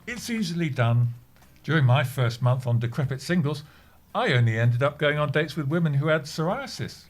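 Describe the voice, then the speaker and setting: An actor with a British accent playing a mild, wistful, slightly odd office worker in an audio comedy. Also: male voice, fairly high pitch, sing-song, moderate pace. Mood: mild, matter-of-fact.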